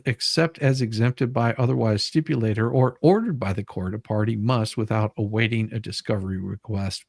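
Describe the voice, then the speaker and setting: A man reading the part of a methodical lawyer questioning a witness in a dramatized deposition, formal and a little halting. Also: fairly low pitch, a little mellow, slightly rough, no echo. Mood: businesslike.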